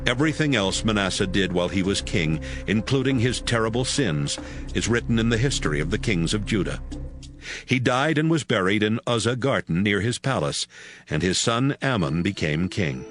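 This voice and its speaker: Male narrator, lowish pitch, lively intonation, measured scripture storytelling, music underneath. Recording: music bed behind narration.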